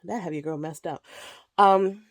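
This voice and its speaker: A woman's voice: lowish voice, steady tone, unhurried, no echo. A woman in her thirties vlogging casually from a child's bedroom while packing, rambling with lots of fillers and a slightly nasal voice from a cold. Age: 30s. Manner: rambling, laughs often, lots of "like" and "um"; packing clothes while talking. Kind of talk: casual chat.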